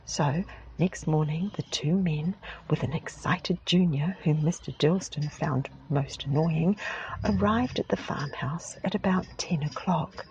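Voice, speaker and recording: A woman softly whispering a storybook aloud for relaxation, close to the mic. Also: sits low, dull audio, slight background noise. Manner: soft, whispered, unhurried. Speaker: ASMR reader. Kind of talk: whispered ASMR storybook reading.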